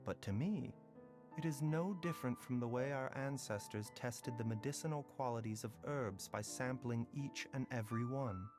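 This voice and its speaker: A man playing a gentle, philosophical young doctor in a video game, with measured, articulate and reflective delivery. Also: mid-range voice, close to an everyday voice. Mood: calm, persuasive. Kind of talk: scripted video game voice acting. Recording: music playing behind the voice.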